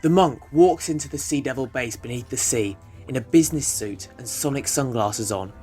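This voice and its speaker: A man narrates an audio drama from a script. His voice sits at a medium pitch, with music playing behind it. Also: moderate pace, warm tone.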